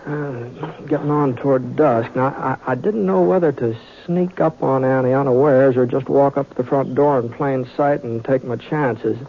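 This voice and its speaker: An actor playing an easygoing, reluctant western drifter, mild, hesitant and folksy, in an old radio drama. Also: male voice, medium pitch, normal speed, few pauses, phone-quality sound, close to an everyday voice. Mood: wry, wary. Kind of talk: old-time radio western acting and first-person narration. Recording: thin, noisy classic radio recording with clicks.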